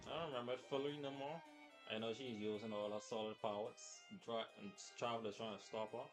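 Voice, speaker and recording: A man's voice: fairly low pitch, steady tone, fast, over music. Rambling, slangy male game streamer. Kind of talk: casual live game commentary.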